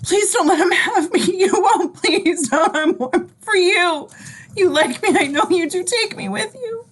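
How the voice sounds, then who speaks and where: Terrified captive, high-pitched pleading. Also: female voice, measured pace, a bit bright. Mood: desperate pleading. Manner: tearful, pleading, breathless. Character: terrified captive woman begging for her life. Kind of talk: amateur screenplay table read. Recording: home video-call audio.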